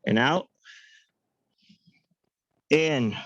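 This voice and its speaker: A young man coaching a workout class, counting reps and giving upbeat cues with joking asides in a high-pitched voice. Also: extremely slow, a bit bright, dry recording, muffled recording. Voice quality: self-described high-pitched voice. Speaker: fitness coach. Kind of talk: guiding an online workout class.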